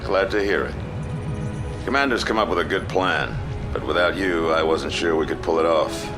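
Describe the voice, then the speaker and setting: Low-voiced, seasoned fleet admiral. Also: male voice. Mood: steady confidence. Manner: measured, authoritative. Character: seasoned, steady male fleet admiral, fatherly commander. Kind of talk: military sci-fi game dialogue. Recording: music behind the voice.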